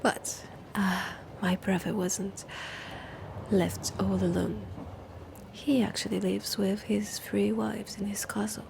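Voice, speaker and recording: Woman playing a French-accented vampire aristocrat, whispered and husky, sick-voiced, very slow and gravelly, noisy recording. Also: medium pitch, level tone. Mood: sly. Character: centuries-old vampire woman, Dracula's sister, aloof. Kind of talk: whispered ASMR audio roleplay.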